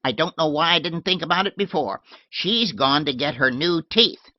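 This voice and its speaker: A man doing a shrill tart old woman. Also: expressive, moderate pace, dry recording, lo-fi sound, lightly acted. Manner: snapping, sharp and scolding.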